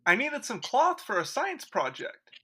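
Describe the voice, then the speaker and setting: Man as a high, bright, guileless sitcom boy. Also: huge pitch swings, a little slow, husky, full character voice. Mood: chipper, nonchalant. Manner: nonchalant, eager. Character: chipper, guileless young son in radio sitcom. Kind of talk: 1940s radio sitcom parody acting.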